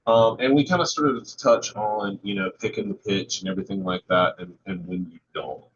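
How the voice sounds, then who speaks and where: A man's voice: lowish voice, normal speed, crowd noise. A man chatting casually as a guest panelist, hesitant and repeating words as he goes. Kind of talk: casual chat. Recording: dull lo-fi call with background noise.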